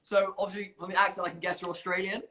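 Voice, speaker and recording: A man's voice: sits high, little pitch movement, fast, sharp tone. A man hosting an informal live competition stream, chatty and self-deprecating, bumbling along as he presents. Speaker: competition livestream host.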